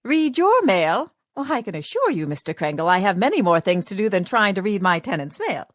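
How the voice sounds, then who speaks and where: A woman's voice: medium pitch, very animated, brisk, dry recording. A woman acting in a radio drama as a nosy, indignant widowed landlady in her fifties, plain-spoken and huffy. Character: nosy, indignant fifty-four-year-old widowed landlady.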